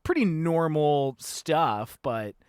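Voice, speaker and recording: A man's voice: a little high, animated, slow pace, a little mellow, clear, resonant tone, no room sound. Loose, laughing man in his thirties, dry sound. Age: 30s. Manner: loose, laughing, swears freely. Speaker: podcast host. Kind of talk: casual chat.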